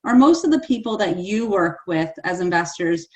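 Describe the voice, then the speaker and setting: A woman's voice: on the low side, expressive, moderate pace, darkish tone. An enthusiastic woman hosting a live panel, chatty and full of "like" and "you know". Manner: enthusiastic, chatty, many fillers. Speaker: host.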